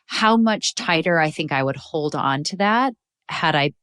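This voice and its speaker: Lower-voiced woman hosting, dry sound. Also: expressive, a little slow, clean tone, no room sound. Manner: warm, encouraging listener, many "mm" backchannels. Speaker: podcast host. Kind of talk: podcast hosting.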